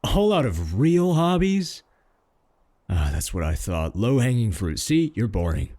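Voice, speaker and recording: A man playing a clingy, cocky male werewolf in a comedic roleplay audio drama, boastful, sarcastic and pleading, with a husky voice. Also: medium pitch, big pitch swings, measured pace, mellow, no echo, studio quality.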